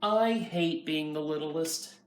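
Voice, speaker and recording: A man's voice: a little high, slow pace. A man voicing a frustrated little girl, the youngest in her family, complaining emphatically in a storybook reading. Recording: roomy, far from mic, faint noise.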